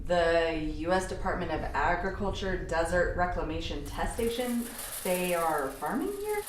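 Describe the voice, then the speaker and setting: Woman acting a sardonic, animated female scientist. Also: lowish voice, normal speed, close to an everyday voice. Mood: wry, probing. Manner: dry, sarcastic. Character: sardonic, wisecracking female scientist, prickly and unimpressed. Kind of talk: dramatized radio play acting. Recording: roomy, with clear background noise.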